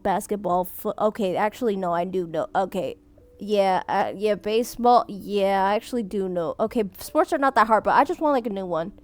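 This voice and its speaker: Young woman w a highish voice, vietnamese first language, reading fan submissions, laughs a lot and keeps cutting herself off. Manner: animated, laughs often, trails off and restarts. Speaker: streamer reading viewer submissions. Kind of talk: casual chat.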